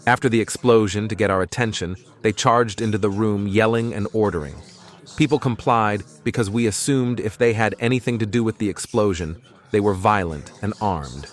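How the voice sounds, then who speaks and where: A man playing a calm, stubborn priest who captains a starship in an audio drama, speaking in terse, firm lines. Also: lowish voice, normal speed, lightly acted. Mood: quietly analytical. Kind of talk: fan audio drama acting.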